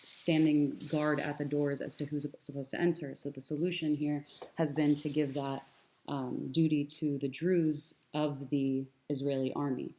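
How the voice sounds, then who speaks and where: Low, mellow-voiced young American woman. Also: level tone, normal speed, slight background noise. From United States. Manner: earnest, thoughtful, many fillers and restarts. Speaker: guest speaker. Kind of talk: conversational panel talk.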